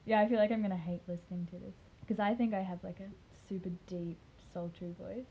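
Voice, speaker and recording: A woman's voice: mid-range voice, fairly even intonation, brisk, vocal fry, breathy edge. Giggly young Australian woman. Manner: giggly, teasing, laughs often. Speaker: podcast co-host. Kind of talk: casual chat. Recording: dull lo-fi test recording.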